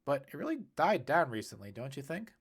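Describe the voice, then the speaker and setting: An easygoing, reasonable man, voiced by a male narrator in a storybook reading. He speaks plainly and conversationally, in a medium-pitched voice. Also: big pitch swings, fairly quick, breathy edge.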